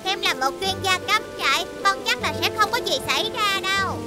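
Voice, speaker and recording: A trusting little cartoon vehicle with a childlike, extremely high voice. Loud music runs behind the dubbed dialogue. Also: female voice, animated, a little fast. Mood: reassuring. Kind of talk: Vietnamese children's cartoon dub.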